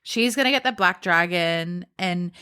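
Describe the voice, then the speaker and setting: A woman's voice: fairly low pitch, a little slow, bright, forceful tone, smooth. A woman podcast co-host chatting about books in an informal, playful way. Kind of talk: casual book chat.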